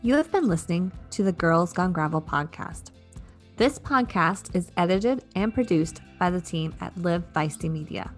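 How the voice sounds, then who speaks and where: A woman co-hosting a casual podcast interview, chatty and quick to laugh. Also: lowish voice, lively intonation, soft background music. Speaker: podcast co-host.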